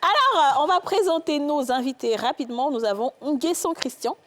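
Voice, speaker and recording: Lively high-voiced Ivorian woman host. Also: normal speed. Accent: Ivorian French. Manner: playful, teasing, exclamatory. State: says she has the flu. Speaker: talk show host. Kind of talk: lively studio chat.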